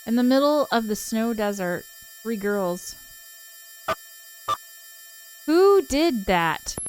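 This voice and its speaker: A woman's voice: a little high, very animated, unhurried, a little mellow, low-quality recording. A woman streaming a visual novel, reading it aloud in a casual voice and chatting with informal, giggly asides. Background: game soundtrack music.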